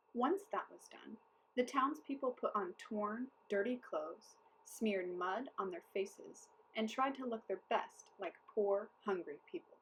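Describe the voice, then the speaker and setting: A woman reading a children's story aloud as storyteller, in an expressive, deliberate way. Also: a little high, low-quality recording.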